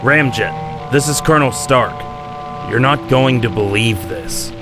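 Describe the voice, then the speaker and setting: An actor in an audio drama playing a sceptical, hard-nosed US army colonel with a terse, interrogating manner. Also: male voice, medium pitch, lively intonation, measured pace, music in the background, close to an everyday voice. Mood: uneasy.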